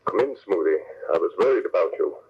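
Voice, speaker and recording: A man playing a scheming crime boss in an old radio drama, husky, probing and coolly in command. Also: deep voice, expressive, fast talker, heavy background noise. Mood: watchful. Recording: muffled old radio recording.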